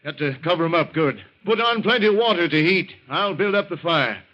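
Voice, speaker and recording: A man's voice: fairly high pitch, animated, brisk, close to an everyday voice. An American actor playing a folksy, worried, helpful country man in an old-time radio drama, plain-spoken. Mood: brisk. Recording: muffled, noisy vintage radio recording.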